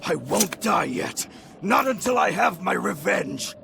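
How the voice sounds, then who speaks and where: Gravelly sailor, bitter and scheming, lively swooping pitch, video game voice. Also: male voice, unhurried, heavily acted. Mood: vengeful. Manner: sardonic, scheming. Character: gravelly bitter male sailor plotting revenge. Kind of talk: video game cutscene acting. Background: game music.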